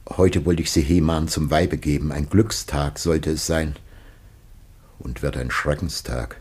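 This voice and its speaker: A man's voice: measured pace, darkish tone, exaggerated voice. An actor playing a dying old patriarch and father in a classic German radio drama, declaiming solemnly and weightily. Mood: troubled. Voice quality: very deep, husky.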